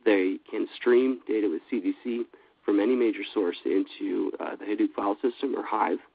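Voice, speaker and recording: A man with a cold giving a steady, businesslike webinar presentation, with frequent fillers. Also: lowish voice, moderate pace, gravelly, no echo. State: has a cold. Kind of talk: scripted product presentation. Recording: dry, phone-like line.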